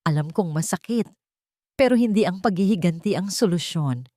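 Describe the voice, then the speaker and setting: A woman voicing a grave, gently calming elderly grandmother in a dramatic story. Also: lowish voice, moderate pace, dry recording, studio quality. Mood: soothing.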